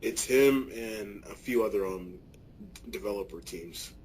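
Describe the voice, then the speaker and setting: A man's voice: fairly low pitch, unhurried, a little mellow, slight background noise, low-quality recording. A young man co-hosting a podcast, chatting casually with crude, laughing banter. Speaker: podcast co-host. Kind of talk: casual chat.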